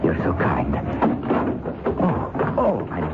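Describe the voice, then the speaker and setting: Fussy apologetic little busybody in a radio play, very low voice, hissy tinny old recording. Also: male voice, huge pitch swings, takes it slowly, exaggerated voice. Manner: hesitant, fawning, fussy. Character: fussy, clumsy, over-apologetic polite man. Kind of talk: old radio drama acting. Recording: noisy, narrow-band old radio recording.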